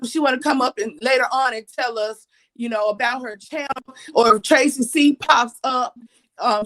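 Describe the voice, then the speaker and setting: A woman with a slightly raspy voice, hosting a casual livestream and chatting with viewers in a repetitive, friendly way. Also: high voice, a bit bright, poor audio. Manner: chatty, repeats herself, reads viewer comments aloud. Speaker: livestream host.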